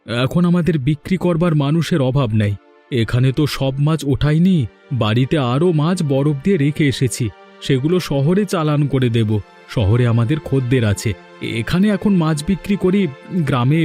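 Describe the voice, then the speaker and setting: A man voicing a blunt, short-tempered adult fisherman in a cartoon, gruff and scolding. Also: on the high side, animated, moderate pace, dark tone, soft background music, close to an everyday voice. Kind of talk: cartoon dub acting.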